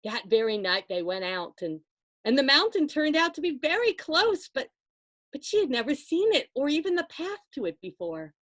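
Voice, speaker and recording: A woman storyteller telling a folktale aloud to listeners, expressive and conversational, with dramatic pauses and asides. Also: sits high, no echo, studio quality.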